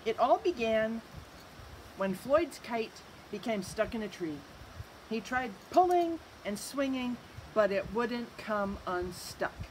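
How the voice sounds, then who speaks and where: Expressive woman reading aloud, lively pitch. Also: slow pace, low-quality recording. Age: middle-aged. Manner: expressive, amused storyteller delivery. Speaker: library storyteller. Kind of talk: read-aloud picture book narration. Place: outdoors on a covered wooden bench structure. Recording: outdoor recording with faint ambient noise.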